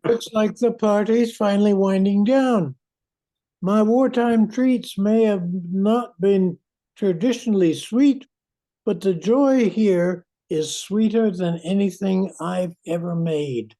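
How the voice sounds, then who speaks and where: A man's voice: high voice, takes it slowly, mellow, low-quality recording. A man playing a kindly small-town treat maker in an amateur radio play. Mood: tender, pleased.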